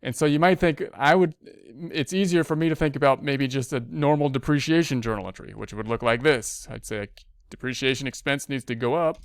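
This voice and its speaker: A man with a clear, smooth voice at a middling pitch gives a casual tutorial. He thinks aloud and explains each step informally, often restating it. The sound is dry and close to the microphone. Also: brisk, dry recording. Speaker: accounting instructor. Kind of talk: casual screen-share tutorial, talking through worksheet steps.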